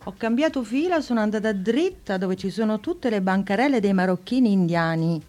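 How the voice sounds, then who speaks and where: A woman's voice: mid-range voice, lively intonation, fairly quick, darkish tone, close to an everyday voice. A woman playing Clara, a chatty, forgetful woman who frets and gets flustered easily, in a radio play. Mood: indignant. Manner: chatty and fretful, exclaims "santo cielo". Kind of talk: radio drama acting.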